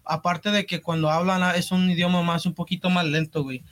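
Podcast co-host: Mexican man with a slightly high-pitched voice, loose street-slang conversational delivery. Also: flat delivery, brisk, a bit bright. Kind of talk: casual podcast chat.

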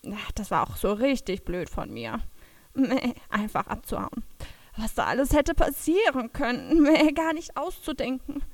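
A woman's voice: on the high side, lively intonation, unhurried, no echo. A woman voicing a timid, anxious young female sheep who stammers and bleats between her words in a children's audio drama. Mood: sad, anxious.

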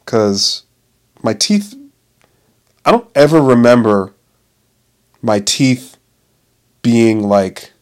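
A man's voice: mid-range voice, lively intonation, extremely slow, long pauses. A man in his late twenties talking in a casual, rambling monologue, swearing often, restarting his sentences and laughing at himself. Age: 20s. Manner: profane, self-interrupting, laughs often.

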